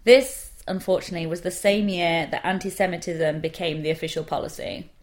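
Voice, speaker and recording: A woman's voice: lowish voice, fairly even intonation, brisk. A woman in her twenties, co-hosting a podcast and telling a history story in a sarcastic, jokey, sweary way. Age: 20s. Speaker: podcast co-host. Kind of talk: casual chat, storytelling.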